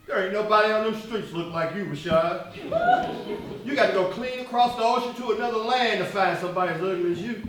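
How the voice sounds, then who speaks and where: A man acting in a stage play as an embattled activist leader, earnest, wry and weary, with a deliberate theatrical delivery. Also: high-pitched, close to an everyday voice. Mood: wry, teasing. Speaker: stage actor. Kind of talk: live one-act stage play acting. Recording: very echoey stage, distant mic, faint noise.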